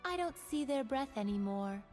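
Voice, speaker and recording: High-voiced young sorceress. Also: female voice, animated, normal speed, darkish tone, faint music behind. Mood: cool, smug. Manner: theatrical, clipped one-liners. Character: young female elemental mage, poised, teasing, shifting dreamy to sinister. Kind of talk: video game character voicelines.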